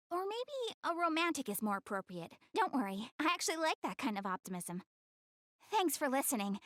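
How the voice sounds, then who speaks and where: A woman's voice: very high voice, no room sound, studio quality, exaggerated voice. A woman voicing a proud, easily flustered young goddess girl in an anime game dub, speaking expressively and directly to the player. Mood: curious, teasing, then friendly, confiding. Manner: expressive, hesitant when covering up embarrassment.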